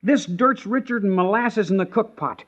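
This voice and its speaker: A man's voice: sits high, normal speed, fairly bright tone. A male actor playing an eager, outspoken farm boy in an old-time radio western. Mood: eager, protesting. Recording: muffled old radio recording.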